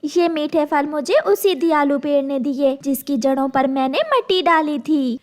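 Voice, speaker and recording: A woman voicing a kind, helpful little mother bird in a children's cartoon, polite and gentle. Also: sits high, a little slow. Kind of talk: Hindi children's cartoon voice acting.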